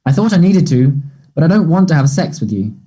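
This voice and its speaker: An earnest, unsure young man, acted by a man in a play reading. His voice is soft-edged, mellow and slightly high, with some huskiness. He speaks haltingly and apologetically, as if feeling for each phrase. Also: very animated, breathy edge. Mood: hesitant, uneasy. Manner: hesitant, halting. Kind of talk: play table read.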